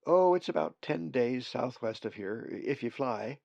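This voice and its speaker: A man's voice: mid-range voice, expressive, unhurried, vocal fry, a little mellow, no room sound, muffled recording. A man voicing a friendly female raven, polite and matter-of-fact.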